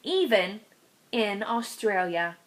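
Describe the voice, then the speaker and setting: A woman reading a children's picture book aloud expressively, with dramatic emphasis and drawn-out stresses on key words. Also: mid-range voice, animated. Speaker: read-aloud storyteller.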